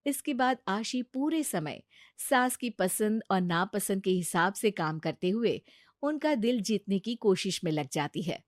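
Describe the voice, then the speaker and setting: A woman's voice: medium pitch, expressive, unhurried, no echo, clean studio sound. A woman reading a scripted family story as its storyteller.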